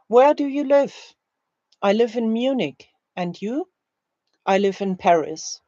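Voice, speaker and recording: A woman teaching a language, reading scripted drill phrases in a deliberate, carefully spaced way. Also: medium pitch, a little mellow, no echo, muffled recording. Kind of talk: slow, scripted language-learning drill, read aloud.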